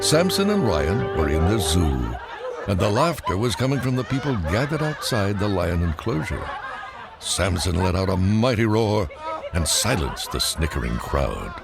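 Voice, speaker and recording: A male narrator tells a children's story in a read-along style. His delivery swings expressively up and down, with clear music playing behind him. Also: fairly low pitch, very animated, normal speed.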